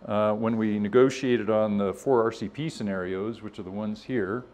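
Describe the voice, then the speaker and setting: A man's voice: fairly low pitch, fairly even intonation, moderate pace, darkish tone. A man presenting at a scientific workshop in an informal, conversational way, explaining things and voicing other people's positions as he goes.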